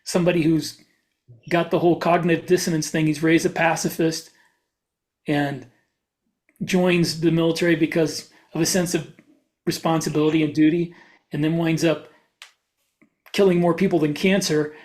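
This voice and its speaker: A man's voice: on the high side, flat delivery, normal speed, pauses often, a little mellow. A man chatting casually as a podcast host and author, with a wry manner. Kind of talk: casual chat.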